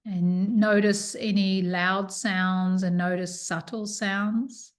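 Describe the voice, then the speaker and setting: A woman teaching yoga nidra, leading a guided relaxation with soothing, unhurried instructions and pauses. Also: mid-range voice, little pitch movement.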